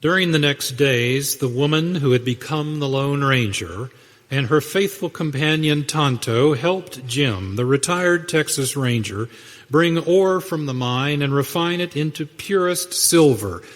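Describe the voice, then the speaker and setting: A man's voice: medium pitch, expressive, a little slow. A man narrating a western radio drama in a scripted, dramatic storytelling style. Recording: reverberant hall sound.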